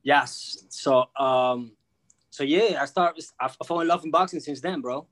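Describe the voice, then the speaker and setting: A man's voice: a little high, measured pace, fairly bright tone. A man chatting casually as a guest, telling personal stories in slangy, repetitive street talk. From born in Peru, grew up in the United States from age two. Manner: slangy, peppers talk with bro, man and you know what I mean. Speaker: guest, freelance sports media journalist.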